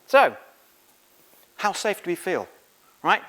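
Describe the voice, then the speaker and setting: High-pitched man giving an animated tech lecture. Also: rough recording. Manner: informal, halting, quizzing the audience. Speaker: conference speaker. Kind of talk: technical conference lecture. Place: conference room, on stage.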